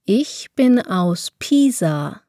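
A woman reading German lesson dialogues, taking every part herself with pauses between phrases. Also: medium pitch, sing-song, warm tone, dry recording, clean studio sound. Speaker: German reader for a language lesson. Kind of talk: slow, clearly spaced phrase reading.

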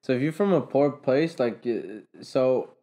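A young man co-hosts a casual podcast, talking things through with plenty of restarts and filler words. His voice is mellow and unforced, at an ordinary pitch for a man, picked up by a camera set back across a table. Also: expressive, measured pace. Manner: explaining at length with many restarts and fillers. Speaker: podcast co-host. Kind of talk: casual chat. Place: room with a conference table.

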